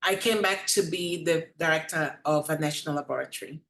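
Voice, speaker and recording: Low-voiced woman, non-native English speaker. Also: a little fast, fairly bright tone. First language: not English. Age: 40s. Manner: earnest, reflective, with hesitant fillers. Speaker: webinar panelist. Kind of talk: panel discussion.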